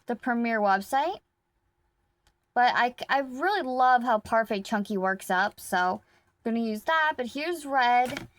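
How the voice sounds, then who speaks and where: Chatty higher-voiced woman crafting late. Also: no echo. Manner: rambling, self-correcting, talks to viewers while working. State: slightly rough throat. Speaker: crochet vlogger. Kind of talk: casual chatty vlog.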